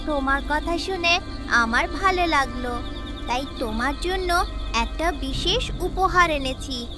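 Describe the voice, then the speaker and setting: A woman voicing a benevolent cartoon fairy, reassuring and measured, who gives solemn warnings, with music behind her. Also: high-pitched. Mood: gentle, reassuring. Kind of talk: children's cartoon moral-tale acting.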